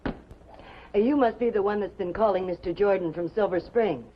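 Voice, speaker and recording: A woman's voice: medium pitch, moderate pace, slight background noise, lightly acted. An actress playing a businesslike newspaper switchboard operator in a 1950s television drama. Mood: businesslike, helpful. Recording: dull 1950s TV soundtrack, music behind.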